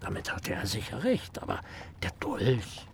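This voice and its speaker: An actor playing an opinionated, prying gentleman in a German radio play, declaiming pompously and insistently. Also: lowish voice, huge pitch swings, measured pace, gravelly, a little hiss or hum, exaggerated voice. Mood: declamatory.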